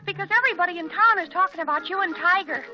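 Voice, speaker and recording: A woman's voice: very high-pitched, very fast. A woman playing a gushing, inquisitive teenage girl in a gossipy 1940s radio comedy style. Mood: eager curiosity. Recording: muffled old radio recording, music behind.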